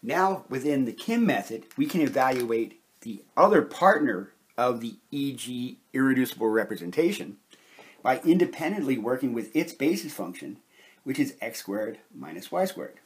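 A man with a husky, medium-pitched voice teaches like a lecturer at a whiteboard. He moves step by step in a deliberate, methodical way, leaving short pauses between phrases. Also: expressive. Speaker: chemistry lecturer, presenter. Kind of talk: step-by-step instructional explanation at a whiteboard.

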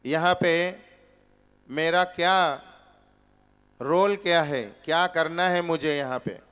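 A man in his forties preaches to a seated congregation in a temple hall, speaking into a stand microphone. His voice is smooth, resonant and set a little high, and he takes his time. He poses question after question and answers them patiently. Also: fairly bright tone. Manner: slow, deliberate teaching with rhetorical questions. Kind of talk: Gita discourse.

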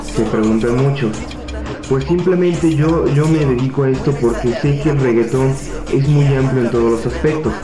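A sixteen-year-old Mexican boy from Puebla, talking softly and hesitantly into a webcam in a small office, with many fillers. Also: mid-range voice, normal speed. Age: teens. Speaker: young reggaeton artist. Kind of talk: self-interview to webcam. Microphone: webcam at desk. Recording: echoey room with music behind.

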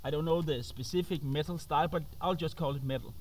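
A Danish man with a high voice addresses his viewers informally. His English is accented and halting, as he searches for words while presenting outdoors. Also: darkish tone. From Denmark. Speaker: host and musician. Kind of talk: informal talk to camera. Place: outdoors on an open roadside.

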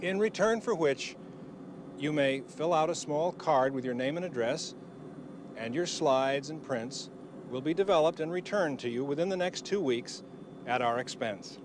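A man's voice: a little high, normal speed, close to an everyday voice. A middle-aged man playing a polite, bureaucratic Air Force official making a formal announcement in a film. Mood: courteous, official. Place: inside an airliner cabin. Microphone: handheld announcement microphone. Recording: noisy airplane cabin announcement.

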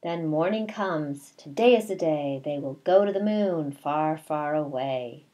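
A middle-aged woman, a kindergarten teacher, reading a picture book aloud in a deliberate, expressive way with asides to young children. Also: sits low. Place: small bedroom at home, indoors.

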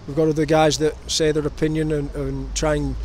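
Footballer, male, thirties: even, slightly higher-pitched, measured interview answers, light outdoor noise. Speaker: professional footballer. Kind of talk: post-match interview answers. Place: outdoors outside a stadium shop. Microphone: handheld interview microphone. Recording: noisy outdoor street recording.

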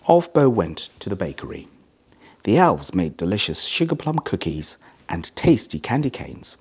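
A middle-aged man with a very low, rough-edged voice reads a children's story. His delivery is measured and expressive, with big swings of pitch from phrase to phrase. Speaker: hospital chief executive reading a children's story. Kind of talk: scripted storybook reading.

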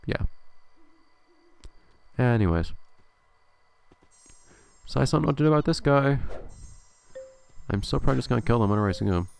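A man's voice: medium pitch, expressive, normal speed, creaky, pauses often, clean tone, soft background music. A man commentating a gameplay video casually, thinking aloud with a slightly nasal, stuffy voice. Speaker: let's play host.

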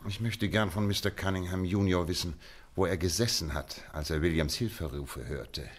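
A man acting in a German radio play as a shrewd detective, polite, measured and deliberate, with command under feigned frailty. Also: deep voice. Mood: politely probing.

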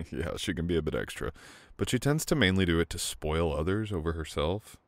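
Young male lead, very low gravelly tone, teasing and soft-spoken; scripted romance audio. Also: expressive, moderate pace, no echo. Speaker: audio roleplay performer. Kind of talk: scripted boyfriend roleplay ASMR.

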